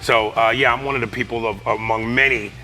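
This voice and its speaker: A male comedian with a raspy voice chats easily as a guest. His tone has a bright, forward edge, and his talk is loose and full of asides. Faint music sits under the studio sound. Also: mid-range voice, steady tone, fairly quick, low-quality recording. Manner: laid-back, full of fillers and asides. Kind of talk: casual TV interview chat.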